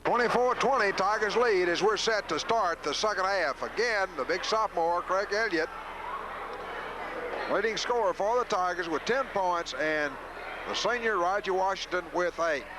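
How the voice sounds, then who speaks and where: High-pitched sportscaster over gym noise. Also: male voice, normal speed. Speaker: radio play-by-play announcer. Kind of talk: live basketball play-by-play commentary. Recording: noisy 1980s local radio broadcast.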